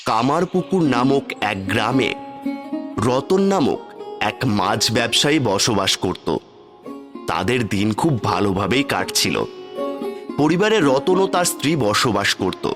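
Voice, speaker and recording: Male narrator for a cartoon fairy tale, animated intonation, mid pitch, clear music bed. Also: measured pace. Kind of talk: scripted cartoon storytelling.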